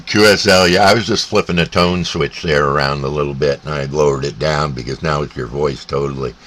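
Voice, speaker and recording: A North American man chatting casually as a CB radio operator, in a halting, laid-back way. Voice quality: deep. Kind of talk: casual two-way radio chat. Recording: faint static and radio hiss.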